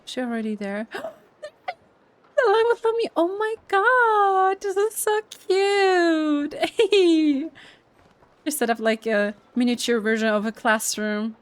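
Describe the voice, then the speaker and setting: A woman's voice: sits high, takes it slowly, no room sound. A young woman giving casual, gushing commentary while playing a game, thinking aloud and giggling. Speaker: gaming streamer. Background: faint game music.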